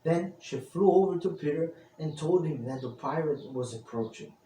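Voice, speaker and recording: A man voicing a gentle older sister, a girl telling a bedtime story in a storybook. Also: fairly high pitch, normal speed, lightly acted. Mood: storytelling. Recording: roomy, distant mic with faint noise.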